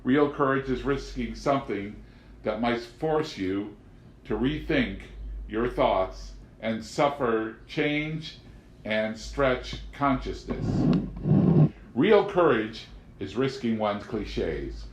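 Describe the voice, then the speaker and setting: A composed, questioning young woman, as a male reader plays her, idealistic and quietly defiant. The delivery is philosophical audiobook dialogue, heard with clear room echo. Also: mid-range voice, lively intonation, slow pace. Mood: earnest, challenging. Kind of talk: audiobook character voice. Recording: roomy, echoing home recording.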